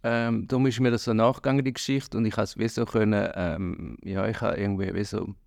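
A Swiss German man in his late forties talks as a podcast guest, slowly finding his words. His voice is low and gravelly. The sound is dry and close. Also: fairly even intonation, a little mellow, no room sound. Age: 40s. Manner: hesitant, many fillers, reflective. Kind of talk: casual chat.

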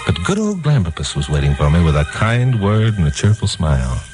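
A man's voice: sits low, big pitch swings, fairly quick. An actor playing a hardboiled detective hero who narrates his own case with wry, slangy self-mockery in a 1950s radio crime drama. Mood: rueful narration. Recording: 1950s radio recording with music.

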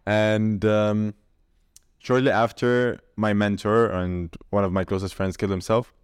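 A man in his twenties from Syria, a podcast guest telling his story in an animated way and laughing often. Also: on the low side, a little slow, clean tone, dry recording, studio quality. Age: 20s. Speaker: podcast guest, mindset coach. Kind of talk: casual interview chat.

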